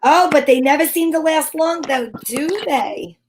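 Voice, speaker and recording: A woman's voice: high-pitched, a little slow, heavy background noise. A woman playing a feisty, wry heroine in an old-time radio show, with sassy, theatrical delivery. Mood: playful. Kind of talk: hobby old-time radio acting with first-person narration.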